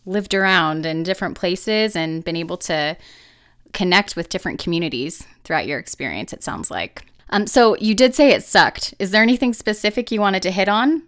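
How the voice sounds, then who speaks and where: A woman's voice: on the low side, lively intonation, moderate pace, a bit bright, smooth. A woman hosting a podcast interview, eager and upbeat as she introduces and questions her guest. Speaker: podcast host.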